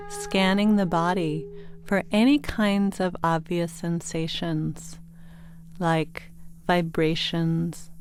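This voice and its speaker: Slow, low-voiced woman guiding meditation. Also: slight background noise. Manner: gentle, soothing, unhurried instruction with pauses. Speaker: meditation guide. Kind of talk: guided sleep meditation narration. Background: meditation music with singing bowl tones.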